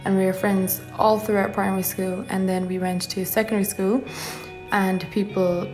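A woman's voice: medium pitch, level tone, normal speed, a little mellow, soft background music. A woman recounting a personal memory in a plain, matter-of-fact way. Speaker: interviewee. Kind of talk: personal testimony.

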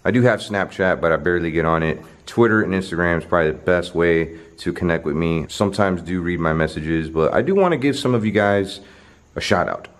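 Deep-voiced man vlogging in the dark. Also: brisk. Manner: chatty, reading off names, dropping to a whisper in the dark. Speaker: YouTube host. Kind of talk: casual vlog narration. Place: dark abandoned stadium at night, indoors. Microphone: handheld camera held close.

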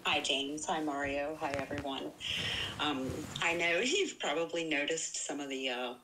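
A woman's voice: low voice, a little slow, bright, forceful tone, slight background noise, poor audio. A middle-aged woman calling in to a live show, speaking hesitantly and earnestly. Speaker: caller.

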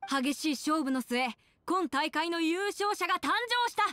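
High-voiced poised contest host. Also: female voice, moderate pace, sharp tone, no echo, studio quality. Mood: formal, upbeat. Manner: crisp, composed, official. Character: poised, brisk young female contest host. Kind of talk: formal announcing in an anime game.

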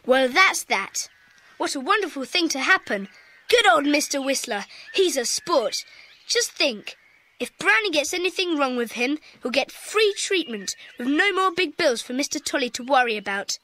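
A woman's voice: high voice, big pitch swings, normal speed, bright, forceful tone, heavily acted. A woman playing an earnest, polite British schoolchild in a children's audio drama. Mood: delighted.

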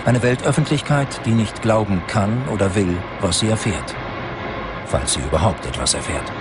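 A man reading scripted documentary narration in a measured, grave manner. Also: fairly low pitch, very noisy.

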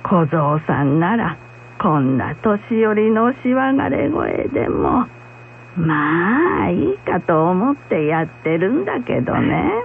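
A woman playing a kindly, frank old blind acupuncturist in a radio drama, gently admonishing. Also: on the low side, very animated, slow, vocal fry, heavily acted. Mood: reminiscing. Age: older. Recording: muffled radio recording with hum, faint noise.

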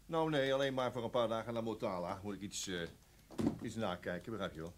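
A man playing a hesitant, reassuring police detective in a Dutch radio play, with halting delivery. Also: on the low side, close to an everyday voice.

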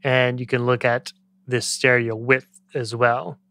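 A man in his thirties giving a casual software tutorial as a music production tutor, speaking into a close studio microphone. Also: mid-range voice, little pitch movement, a little slow, no room sound. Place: small indoor home studio. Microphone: close studio microphone on boom arm.

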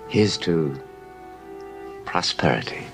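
Man playing a smooth-talking flirt, extremely low creaky voice, swooping intonation, audio drama. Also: sing-song, slow pace, music in the background, exaggerated voice. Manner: suave, coaxing. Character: smooth-talking, manipulative, flirtatious male schemer.

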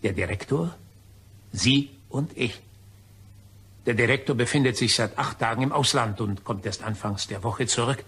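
A man's voice: mid-range voice, moderate pace, a bit bright, slight background noise, natural voice. An actor playing a stern, self-righteous office man in a crime radio play, speaking formally and insistently. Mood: accusing, measured.

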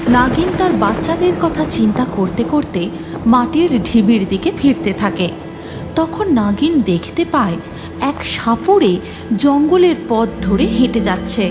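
A woman's voice: a little high, big pitch swings, a little slow, over music. A woman narrator reading a scripted fairy tale for a cartoon.

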